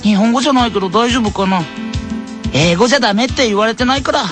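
A woman's voice: a little high, fast talker, close to an everyday voice. A woman voicing a cheerful schoolchild thinking aloud in a sing-song way in an anime radio skit. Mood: playful, upbeat. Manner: sing-song, talking to self. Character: cheerful schoolchild musing over New Year calligraphy. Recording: music playing behind the voice.

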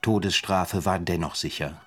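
A very low male voice as a sober writer-chronicler. He narrates a radio play gravely and factually, in a measured way. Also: soft background music.

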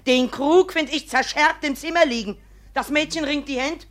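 Righteous scolding mother, acted by a woman: fairly high voice, emphatic declamation, 1950s radio drama. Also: normal speed, lightly acted. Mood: indignant, dramatic. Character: indignant middle-aged village mother, scolding and righteous. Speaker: actress in a radio play. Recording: 1950s radio play recording.

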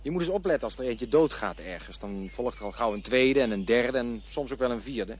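A man's voice: medium pitch, expressive, a little fast, breathy edge. A man playing a doubting man facing death. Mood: pensive. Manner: protesting, earnest, stammering at times. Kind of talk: radio play acting. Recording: dull lo-fi radio recording with faint noise.

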